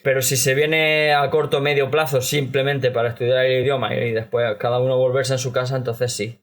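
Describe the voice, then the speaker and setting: Male panelist, medium-pitched, measured and somewhat formal, giving advice in discussion. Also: flat delivery. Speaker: podcast guest giving advice on language study.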